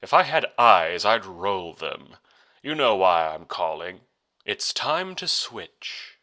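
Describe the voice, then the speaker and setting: A smug, sinister gentleman doctor from an audio drama, played by a male narrator, with a bright, cutting edge. He draws out his words slowly and condescends with mock courtesy, his pitch swinging widely. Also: sing-song, heavily acted. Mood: exasperated, insistent. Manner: drawn-out, theatrical, condescending.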